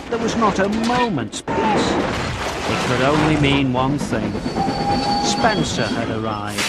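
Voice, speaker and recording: A man narrating a children's storybook game in a deliberate, expressive storytelling style, as scripted narration. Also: fairly high pitch, very noisy. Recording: music playing behind the voice. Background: background music.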